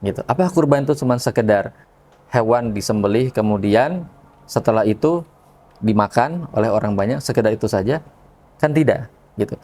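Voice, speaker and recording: A man's voice: on the low side, lively intonation, normal speed, pauses often, darkish tone. Man explaining in conversation. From Indonesia. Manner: explanatory, often checks in with tag questions. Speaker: religious speaker, podcast guest. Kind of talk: conversational religious discussion.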